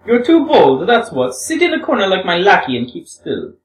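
A man's voice: sits high, huge pitch swings, moderate pace, pitched above the performer's own voice. A man voicing a proud, nervous young woman who talks heatedly and accuses herself. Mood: heated, confessing. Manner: heated, hysterical pleading. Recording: echoey room, far from mic.

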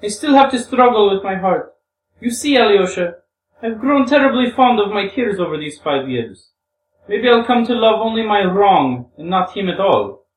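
A woman's voice: medium pitch, lively intonation, moderate pace, voice pushed up, natural voice. A woman playing a volatile, wounded young Russian woman in a storybook reading, with theatrical exclamations. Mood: wistful, wounded. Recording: roomy, distant microphone.

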